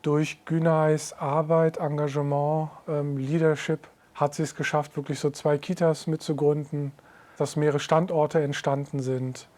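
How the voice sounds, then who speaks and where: A man leading an anti-discrimination project, giving a measured interview statement with steady lists. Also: a little high. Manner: steady listing, measured delivery.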